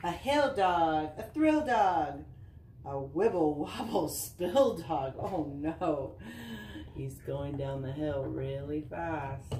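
Slow-paced woman reading to children, roomy sound. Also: sits low. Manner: expressive, singsong reading with chatty asides to children. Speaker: volunteer reading aloud to children. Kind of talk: picture book read-aloud for children. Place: living room indoors. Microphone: camera a short distance away. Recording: roomy, distant camera sound with faint noise.

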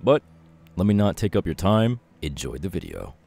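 A man's voice: low-pitched, huge pitch swings, normal speed, gravelly, darkish tone, soft background music. A man speaking as a channel host, giving a promo intro to his video.

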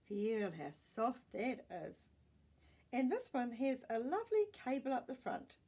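A woman's voice: a little high, wildly swooping pitch, unhurried, mellow, husky, full character voice. A woman voicing a courteous, fussy male shop salesman in a storybook, with polite, apologetic sales patter. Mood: eager, selling.

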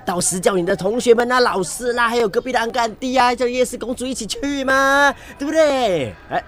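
A man's voice: very high-pitched, brisk, a bit bright. A man playing a boastful, doting night-market hawker father in a comedic radio drama, with a Singaporean accent, exclaiming and mixing in English. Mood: boastful, excited. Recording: radio drama with music bed.

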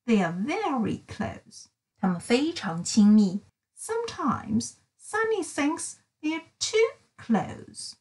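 A high-voiced woman reads a children's story aloud. Her delivery swings widely up and down in pitch, with animated, careful enunciation that keeps each phrase distinct. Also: takes it slowly, low-quality recording. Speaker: storybook reader. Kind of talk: expressive bilingual children's read-aloud.

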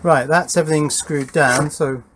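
A man's voice: fairly high pitch, steady tone, normal speed, fairly bright tone. A middle-aged man narrating hands-on electronics work casually, thinking aloud as he goes. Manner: informal, thinking aloud while working. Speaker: electronics hobbyist presenting his workshop project. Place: small wooden garden shed workshop.

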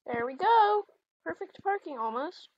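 A woman's voice: very high-pitched, sing-song, a little slow, dry recording, dull audio. A woman chatting casually as she role-plays through a video game as the host. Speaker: gaming video host, mother playing with her daughter. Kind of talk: casual role-play chat over gameplay.

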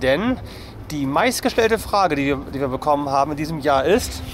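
A man's voice: fairly high pitch, expressive, normal speed, slight background noise. A man in his forties with a German accent, presenting a casual family vlog outdoors to a handheld camera. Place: outdoors in an amusement park by a lake. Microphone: camera held at arm's length.

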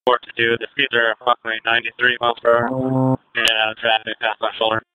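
A man's voice: mid-range voice, monotone, fast, phone-quality sound. A man working as a state patrol officer, giving terse, clipped reports over a police radio. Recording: noisy police scanner radio audio.

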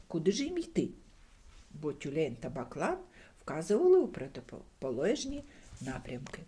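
An older woman reading a bedtime fairy tale aloud expressively, jumping to high voices for characters and dropping to soft whispers. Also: slightly rough.

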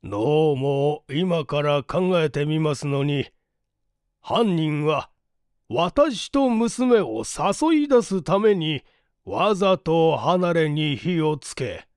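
A man reading a mystery story as a narrator, in a measured, polite and formal delivery. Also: a little high, expressive, dry recording, clean studio sound. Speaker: audiobook narrator, stage actor. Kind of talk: dramatized literary reading, mystery novel.

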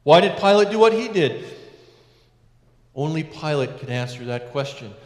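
A middle-aged man, a priest, preaching a sermon from a lectern in a large church, measured with emphatic rises and hushed endings. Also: on the high side, lively intonation. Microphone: lectern mic, far camera. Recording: echoey church with long reverb.

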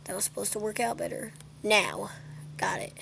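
A woman's voice: medium pitch, very animated, moderate pace, creaky, fairly bright tone. A young woman giving casual gameplay commentary, muttering to herself as she records on a phone. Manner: muttering to self. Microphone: phone screen recorder microphone. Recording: faint background noise, phone recording.

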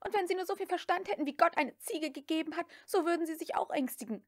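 Lady reader doing a frantic willful young southern belle in an audiobook, high pitched, urgent. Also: quick pace, no room sound, studio quality. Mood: frightened, impatient. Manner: urgent, shrieking when pushed. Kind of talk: dramatic audiobook character reading.

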